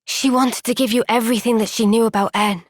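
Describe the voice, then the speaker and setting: An actress voicing a gentle, kind and steady young woman soldier in a game's cutscenes, with a Welsh accent and a soft-spoken, caring, thoughtful way of talking. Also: fairly high pitch, quick pace, no echo, studio quality, lightly acted. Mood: warm. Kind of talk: video game cutscene dialogue. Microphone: close studio microphone.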